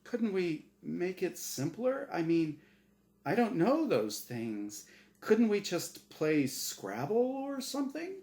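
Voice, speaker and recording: A man doing a meek, high-pitched bewildered fellow. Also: sing-song, slow pace, darkish tone, full character voice. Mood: plaintive, protesting. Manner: plaintive, questioning, protesting. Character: meek, bewildered, anxious recently dead man. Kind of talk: storybook character voice in dramatic reading.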